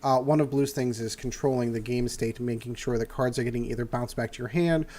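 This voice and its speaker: A man's voice: mid-range voice, steady tone, a little fast, a little mellow. An older man hosting a casual card game review, nasal and congested from a cold, rambling through his points with frequent "uh"s and restarts. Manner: rambling with many "uh" fillers and restarts. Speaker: host.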